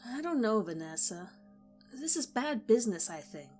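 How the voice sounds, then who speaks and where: A woman's voice: on the high side, sing-song, moderate pace, darkish tone, soft background music. A woman voicing a protective teenage girl friend in a story reading, speaking in a confiding, coaxing way. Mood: worried.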